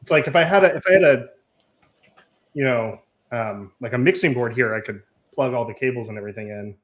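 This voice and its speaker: A man chatting casually while setting up audio, halting and fumbling for words. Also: mid-range voice. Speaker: debate guest. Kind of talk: casual chat.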